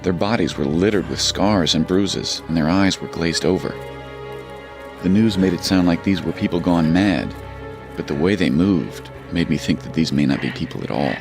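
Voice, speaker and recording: An actor playing a haunted, hard-bitten army sergeant who survives a zombie apocalypse, in an audio drama. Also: male voice, sits low, moderate pace. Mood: grim, haunted. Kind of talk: audio drama narration and dialogue. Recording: loud music and car sounds behind.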